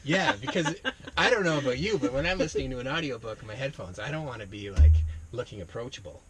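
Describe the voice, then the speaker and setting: A man's voice: mid-range voice, animated, quick pace, over music, low-quality recording. A man co-hosting a casual book chat, talking in a wry, hesitant way as he thinks aloud. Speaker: library podcast co-host. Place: parked library bookmobile. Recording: clear background noise inside vehicle.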